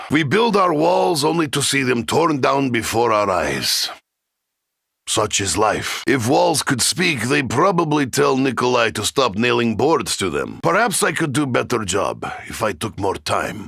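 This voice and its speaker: Gruff russian soldier for a video game, super gravelly and raspy, thick accent, broken english. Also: male voice, mid-range voice, sing-song, unhurried, fairly bright tone, no echo, clean studio sound, heavily acted. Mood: weary, resigned. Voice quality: gravelly, rough. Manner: declamatory, broken English without articles. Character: gruff, angry Russian soldier, brooding and philosophical. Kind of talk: video game character acting.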